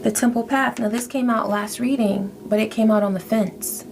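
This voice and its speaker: Gravelly-voiced woman reading tarot. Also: fairly high pitch, fairly even intonation, normal speed, darkish tone, soft background music. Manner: reassuring, repeats "okay?" often. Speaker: tarot reader. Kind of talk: casual spiritual tarot reading.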